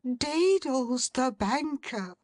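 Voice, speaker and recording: A woman's voice: medium pitch, animated, takes it slowly, dry recording, clean studio sound, pitched above the performer's own voice. A woman voicing an agitated, fussy male secretary who blurts out alarming news in breathless exclamations.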